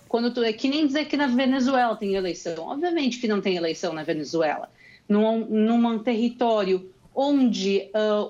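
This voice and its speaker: A woman's voice: medium pitch, darkish tone, highs cut off. A young woman with a gaúcho Brazilian accent, earnestly explaining events as a guest over a remote call. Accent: gaúcho Brazilian Portuguese. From Rio Grande do Sul, Brazil. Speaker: guest commentator living in Israel. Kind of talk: call-in interview commentary.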